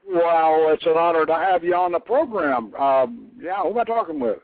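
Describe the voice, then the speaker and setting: A man hosting a radio show, explaining things informally in a halting way, repeating and rephrasing as he goes. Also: fairly high pitch, no room sound. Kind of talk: informal explanatory talk, taking calls. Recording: narrow phone-like internet radio line.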